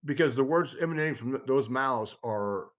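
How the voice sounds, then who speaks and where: A man talking casually as he tells stories and explains things, rambling and halting, often trailing off mid-thought. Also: mid-range voice, expressive, a bit bright. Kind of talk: casual conversational storytelling and explaining.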